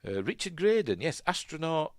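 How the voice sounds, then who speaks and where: A man with a Yorkshire accent hosting a casual film commentary, rambling and musing aloud, often second-guessing himself and trailing off quietly. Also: a little high, very animated, moderate pace, no room sound. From Yorkshire, England. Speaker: film review host.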